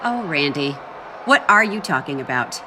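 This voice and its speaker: A woman's voice: deep voice, sing-song, normal speed, bright, forceful tone, slight background noise, full character voice, poor audio. A woman playing a stern, exasperated wrestling executive who is in charge, in a video game cutscene. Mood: dismissive, firm. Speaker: executive character. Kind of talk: scripted game cutscene dialogue.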